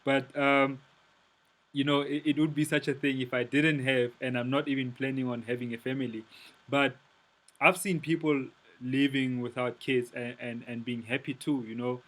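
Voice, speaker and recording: A man's voice: medium pitch, little pitch movement, normal speed, fairly bright tone, poor audio. Hesitant South African-raised man host. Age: 30s. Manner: hesitant, searching for words. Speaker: podcast host. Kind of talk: casual interview.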